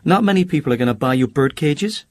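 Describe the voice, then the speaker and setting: A man voicing a brash, theatrical young woman who talks in a teasing, sharp-tongued way, for an audiobook. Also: fairly high pitch, lively intonation, fast, no echo, voice pushed up, close to an everyday voice. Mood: cold. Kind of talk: audiobook character voice.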